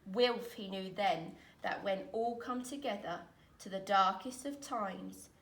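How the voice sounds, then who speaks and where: A woman reading a rhyming children's picture book aloud in an expressive, dramatic way, with deliberate phrasing. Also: medium pitch. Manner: dramatic, deliberate phrasing with emphatic swells and hushes. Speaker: storyteller reading aloud, teacher. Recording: roomy echo, distant microphone.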